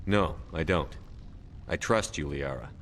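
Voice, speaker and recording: A man playing a steady, commanding space military officer in a video game, blunt and restrained. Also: extremely low pitch, measured pace, slight background noise. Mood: businesslike.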